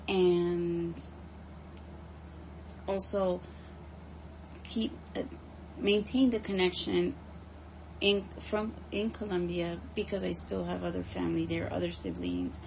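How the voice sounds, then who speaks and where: A woman from the United States answering interview questions conversationally, in a hesitant, halting way as she thinks aloud. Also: on the low side, vocal fry, a little mellow, slight background noise, low-quality recording. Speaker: interviewee, home care nurse.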